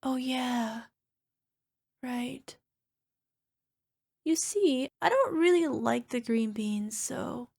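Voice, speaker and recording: A woman's voice: high-pitched, expressive, very slow, vocal fry, long pauses, a little mellow, exaggerated voice. A woman playing a small, sheepish cyclops monster girlfriend in an ASMR roleplay, wheedling and making cutesy, defensive excuses. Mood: evasive, sheepish. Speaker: voice actor.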